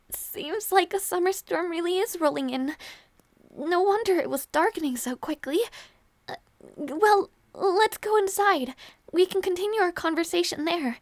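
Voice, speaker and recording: A woman playing a gentle, easily flustered young princess in an intimate audio roleplay, speaking hesitantly with small stammers. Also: very high voice, animated, measured pace, dry recording, full character voice. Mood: startled, hurried. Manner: hesitant, stammering, soft-hearted.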